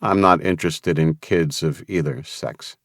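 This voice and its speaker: A male narrator voicing a calm, capable man who speaks in an even, understated way. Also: rumbling low, measured pace, dry recording, clean studio sound, heavily acted.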